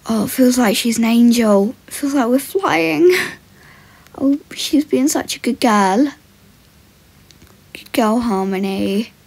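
A woman's voice: fairly high pitch, slow, gravelly, pauses often, darkish tone, slightly rough. A young woman giving casual vlog commentary while riding, breaking off into short soothing commands and murmured praise to a horse. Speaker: horse rider in a voiced role-play video.

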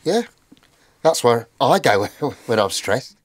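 A man playing a wounded, sulky actor in the role of a grown son in a radio soap, measured and hurt. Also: medium pitch, big pitch swings. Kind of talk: radio drama acting in screen comedy. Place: small radio recording studio.

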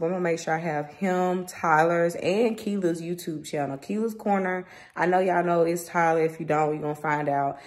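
A young woman with a fairly low voice and a Southern US accent. She talks informally and with plenty of slang, as a vlogger does, into a phone held at arm's length. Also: a little mellow. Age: young adult. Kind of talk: casual vlog chat to camera. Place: rooms at home and a parked car.

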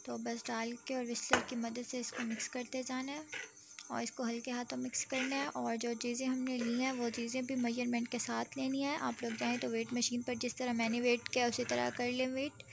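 A woman hosting her cooking channel, narrating a home recipe step by step to viewers in a steady, explanatory way. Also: on the high side, fairly quick, vocal fry, background noise. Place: home kitchen.

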